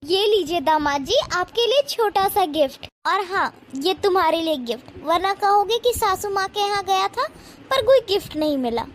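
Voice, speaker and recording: A woman playing a snobbish middle-aged mother-in-law in an animated moral story, with a condescending, taunting manner. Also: very high voice, moderate pace, a little hiss or hum. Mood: sweetly gracious.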